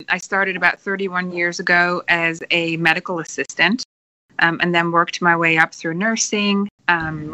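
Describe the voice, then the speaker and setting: Dutch-born woman in her 40s on a podcast, earnest, lots of ums, lowish bright voice. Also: no echo, rough recording. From Netherlands. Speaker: guest. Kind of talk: podcast interview.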